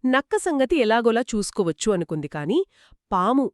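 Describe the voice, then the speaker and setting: A woman's voice: fairly high pitch, sing-song, moderate pace, dry recording. Engaged female children's storyteller, dry sound. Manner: engaged, dramatic, addresses young listeners. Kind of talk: conversational children's storytelling dialogue.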